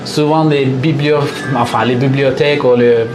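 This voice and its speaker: A man from Istanbul with a Turkish accent, explaining his paper marbling craft to visitors in his small workshop, in halting French with many pauses. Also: mid-range voice, fairly even intonation, echoey room, over music. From Turkey (Istanbul).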